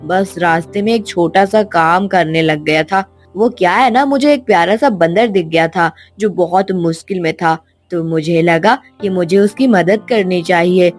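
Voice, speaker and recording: A kind schoolboy from a children's cartoon, voiced by a woman. He talks in an earnest, friendly way at an unhurried pace, with music underneath. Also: lowish voice. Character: kind, helpful schoolboy hero. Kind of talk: cartoon storybook voice acting.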